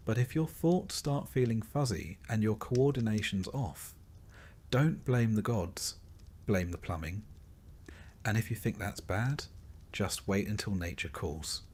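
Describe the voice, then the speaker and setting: A man reading a scripted documentary-style narration in a measured, dramatic storytelling manner with wry, dry asides. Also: on the low side, darkish tone, slightly rough. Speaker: narrator of history sleep stories.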